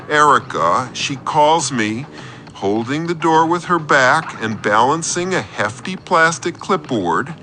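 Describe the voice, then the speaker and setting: A man's voice: medium pitch, sharp tone, exaggerated voice. A man playing an awkward, oversharing injured caller on a comedy radio show, rambling and embarrassed.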